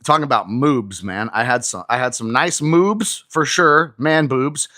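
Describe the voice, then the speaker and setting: A man's voice: mid-range voice, expressive, measured pace, sharp tone, no room sound, studio quality. A man in his forties hosting a casual live stream from his garage gym, chatting with viewers and reading out their comments while he stretches. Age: 40s. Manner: chatty, talks straight to viewers, reads out comments. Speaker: livestream host. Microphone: phone camera on an auto-tracking mount.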